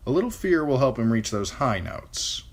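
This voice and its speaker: A man's voice: mid-range voice, big pitch swings, moderate pace, voice pushed up. A man voicing a shrewd, sardonic nobleman who speaks dryly and with command. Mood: cold, sardonic. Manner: dry, sardonic.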